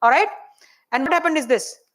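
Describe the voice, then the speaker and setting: A soft-spoken woman with an Indian English accent, teaching a hands-on AI workshop and checking in with the room often. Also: high voice, fairly quick. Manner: chatty, checks in often with "right?" and "okay?". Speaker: AI workshop presenter.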